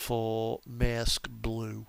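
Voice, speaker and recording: A man's voice: fairly low pitch, monotone, very slow, creaky, smooth, no room sound. A man chatting casually and offhandedly while playing a truck simulator game. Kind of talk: casual chat during gameplay.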